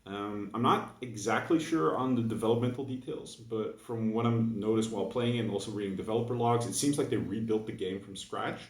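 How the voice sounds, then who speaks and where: A young man with a Dutch accent rambles through an unscripted gaming vlog, his voice a little low and groggy from just waking up. He hesitates often, fills gaps with "uh" and "um," and restarts his sentences. He sits back from the mic, so the room rings around him. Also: fairly quick, hardly any pauses. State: just woke up. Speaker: gaming video creator. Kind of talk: casual unscripted video monologue. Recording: echoey room, mic at a distance.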